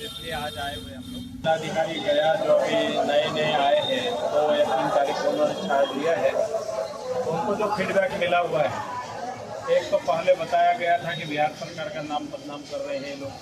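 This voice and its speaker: A man's voice: fairly high pitch, steady tone, normal speed, loud music behind the voice. A man giving a spoken account to a news reporter, explaining at length and repeating himself. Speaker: monk and protest movement representative. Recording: noisy, echoey, distant field recording.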